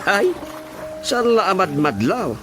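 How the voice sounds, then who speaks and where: Casting: nosy local official, high male pitch, needling delivery, comic radio serial. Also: very animated, slow, music in the background, full character voice. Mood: accusing. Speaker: radio drama actor. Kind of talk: radio drama comedy acting.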